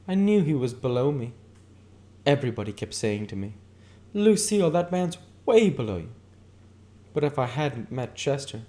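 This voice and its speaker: A man voicing a vigorous, snobbish married woman in an audiobook with a shrill tone. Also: mellow, lightly acted. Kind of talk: audiobook character dialogue.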